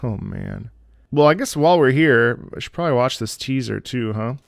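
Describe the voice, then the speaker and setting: A man's voice: medium pitch, expressive, moderate pace, vocal fry, dry recording, studio quality. A man hosting a music reaction video, talking in a chatty, enthusiastic, casual way. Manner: chatty, enthusiastic, with many fillers and restarts.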